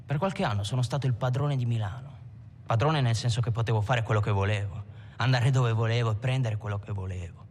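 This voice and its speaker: An actor playing a Milanese bandit who looks back on his criminal past in a deliberate, confessional film monologue. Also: medium pitch, a little mellow, big-room sound, a little hiss or hum, natural voice. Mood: reflective, defiant. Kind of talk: dramatic monologue in a docudrama film.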